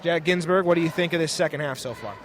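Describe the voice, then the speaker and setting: A young American man calling high school basketball as a live radio play-by-play announcer. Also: fast, crowd noise. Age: young adult. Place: indoor high school gym, courtside. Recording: noisy gym ambience. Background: bouncing basketballs, gym noise.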